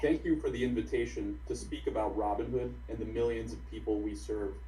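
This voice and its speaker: A man in his thirties, from Bulgaria, a company executive reading prepared testimony in a composed, even way over a video call. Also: on the low side, brisk, a crowd in the background. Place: home office on video call. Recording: echoey video call audio.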